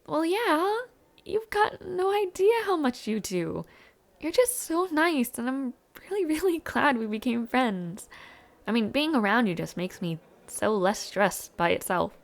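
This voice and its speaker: A shy but playful college girl acted by a young woman, speaking in an intimate roleplay style. Her pitch moves around a lot and her pace is unhurried, with a creaky edge to the voice. She comes across as warm, hesitant and gently teasing. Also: lively intonation, full character voice. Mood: touched, grateful. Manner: hesitant, giggly, softly teasing. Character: warm, shy, playful female university student with a crush. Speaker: audio roleplay performer. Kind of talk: intimate audio roleplay acting.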